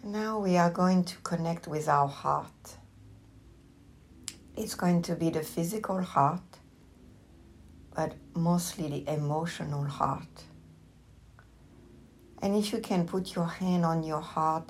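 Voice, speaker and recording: A woman with a low voice leads a guided meditation at a very slow pace. She gives gentle instructions as a teacher would, leaving long pauses between short phrases. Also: a little hiss or hum.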